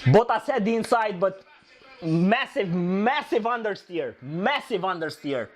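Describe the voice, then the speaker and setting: Hoarse excitable man reacting to a race. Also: sits high, a little slow, a crowd in the background. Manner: excitable, shouting at overtakes, repeating phrases. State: hoarse, losing his voice. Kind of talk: live race reaction commentary.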